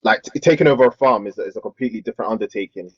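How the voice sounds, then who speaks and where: A man's voice: lowish voice, very fast, breathy edge, dry recording. A young man who explains his ideas at length in casual podcast chat, stammering as he starts. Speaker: podcast co-host. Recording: dull lo-fi dry sound.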